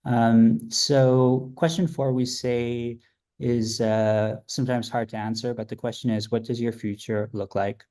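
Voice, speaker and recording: A man hosting a podcast, working through a numbered list of questions in a brisk, steady way. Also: mid-range voice. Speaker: podcast host.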